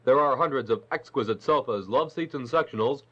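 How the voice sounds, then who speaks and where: Mid-pitched man reading old radio advertisement. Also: a little fast, fairly bright tone. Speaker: commercial announcer. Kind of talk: vintage scripted radio advertisement. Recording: dull old radio recording.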